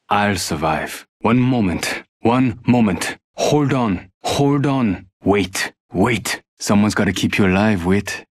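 An actor with a Korean accent playing a guarded, sardonic younger hacker in a video game, terse and cool. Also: male voice, lowish voice, no echo, clean studio sound. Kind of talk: video game character voice lines.

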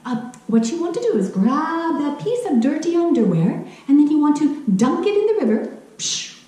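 Here is a woman acting a kindly, excitable Japanese grandmother in a live children's show. Her voice is high and soft-toned, and it swings widely as she addresses the audience with exclamations. The room echoes heavily. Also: moderate pace. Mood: cheerful, instructive. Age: middle-aged. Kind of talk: theatrical children's storytelling. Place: living room at home. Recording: very echoey room, faint music.

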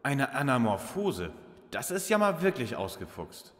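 A man's voice: mid-range voice, very animated, measured pace, fairly bright tone. A man plays an articulate, wry young detective leader in a parody audio drama. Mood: focused. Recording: long reverb, music behind.

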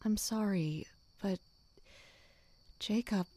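A woman acting a patient female servant in a fantasy audio drama. She speaks slowly and gently, with concern for the one she looks after. The recording is close and dry. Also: medium pitch, no room sound.

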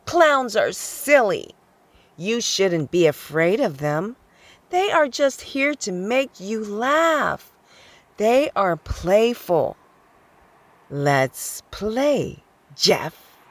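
A woman's voice: mid-range voice, sing-song, very slow, no echo, full character voice. A woman playing a soothing, playful bride in a horror radio drama, coaxing and teasing. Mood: eerily playful. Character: soothing, playful bride humoring her nervous husband.

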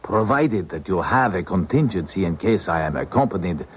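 An actor playing an apprehensive older defector in a 1970s radio drama, speaking formally, haltingly and deliberately. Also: male voice, sits low, lively intonation. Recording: muffled, noisy 1970s radio recording.

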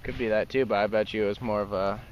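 A man's voice: lowish voice, fairly even intonation, fast talker, lo-fi sound. A young man giving casual, informal running commentary to viewers while filming outdoors from a canoe. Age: young adult. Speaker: vlogger filming a canoe trip. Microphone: handheld camera mic. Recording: noisy outdoor handheld camera recording.

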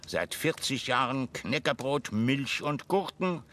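An actor playing an eccentric, war-obsessed old major in a German radio play, muttering pompously in clipped military phrases. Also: male voice, mid-range voice, lively intonation, takes it slowly, fairly bright tone. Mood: proud, eager. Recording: clearly noisy ambience behind the voice.

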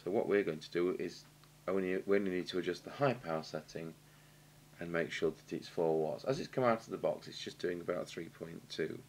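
Low-voiced British man over humming noise. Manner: halting, matter-of-fact, thinking aloud. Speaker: radio repair technician demonstrating. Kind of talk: unscripted technical demonstration commentary. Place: indoor workshop at a bench. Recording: clear background noise and hum.

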